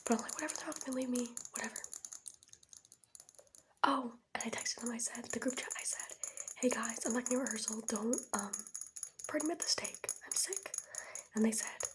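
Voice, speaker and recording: Teen female ASMR host, higher-pitched, mostly whispered, breathy, chatty and wry storytime delivery. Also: fairly quick, long pauses. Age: teenager. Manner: chatty, wry, youthful slang. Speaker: ASMR host showing products.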